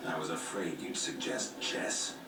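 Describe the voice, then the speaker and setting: An actor playing a gruff, stoic monster hunter in a video game, terse, deadpan and defiant. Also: male voice, very deep voice, measured pace, far from the mic. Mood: dry. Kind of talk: video game cutscene acting. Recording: echoey game audio with noisy background.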